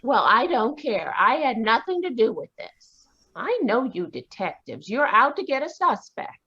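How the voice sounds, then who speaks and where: An actress playing a defiant young woman suspected of murder in a 1940s-style radio play, quick and indignant in her retorts. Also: on the high side, very animated, breathy edge, lo-fi sound, heavily acted.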